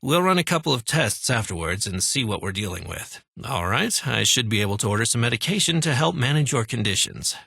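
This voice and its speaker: An actor playing a courteous, fussy military clinic doctor in a post-apocalyptic video game, with polite, formal bedside patter. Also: male voice, mid-range voice, big pitch swings, fairly quick, gravelly, few pauses, sharp tone, husky, no echo, studio quality, heavily acted. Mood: polite, businesslike. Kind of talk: scripted video game dialogue.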